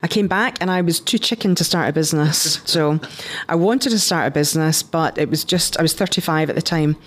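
A woman's voice: low-pitched, a little fast. A woman in her fifties with a Scottish accent, telling her career story conversationally as an interview guest. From Scotland. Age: 50s. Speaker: interview guest, business founder.

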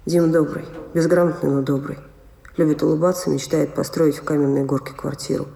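An actor narrating character sketches in an audio play with gentle irony. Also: male voice, fairly high pitch, level tone, brisk, vocal fry. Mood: gently ironic. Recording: echoey room.

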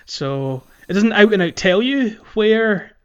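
A man's voice: high-pitched, lively intonation, takes it slowly, clear, resonant tone, muffled recording. A man giving casual, chatty gaming commentary as a podcast host, telling things informally.

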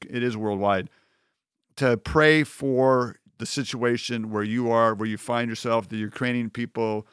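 A man hosting a podcast, asking friendly, measured questions. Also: mid-range voice, a bit bright, clean tone, dry recording, clean studio sound. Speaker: podcast host. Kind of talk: podcast interview.

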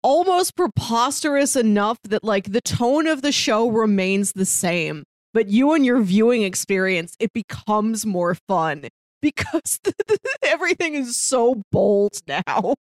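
A woman co-hosts a review podcast in casual conversation. Her voice is a little high and moves around animatedly in pitch. The sound is dry and close, with very little room in it. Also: expressive, a little slow, dry recording. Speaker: podcast co-host.

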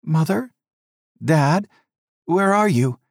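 A man's voice: on the high side, expressive, takes it slowly, creaky, no echo, clean studio sound, voice pushed up, full character voice. Man voicing an apologetic young college son. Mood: calling. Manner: eager, apologetic.

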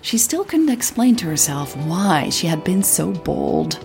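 A woman reading scripted audio drama narration in a husky voice. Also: low voice, big pitch swings, unhurried, a little mellow, soft background music.

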